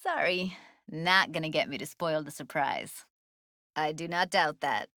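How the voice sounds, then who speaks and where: A woman's voice: sits low, unhurried, bright, forceful tone, dry recording, clean studio sound, exaggerated voice. A woman voicing a young, streetwise techie in a video game, blunt and guarded with tenderness underneath, speaking in casual, clipped slang. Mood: teasing, affectionate. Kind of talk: naturalistic video game voice acting.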